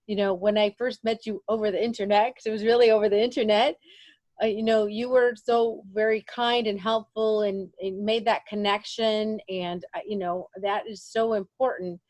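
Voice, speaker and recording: A woman's voice: mid-range voice, fairly even intonation, moderate pace, darkish tone. A woman with a mid-range voice hosts an interview over a remote call. She talks in an effusive, affirming way and uses many fillers. Her sound is dry, with no room echo. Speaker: podcast host. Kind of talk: interview conversation.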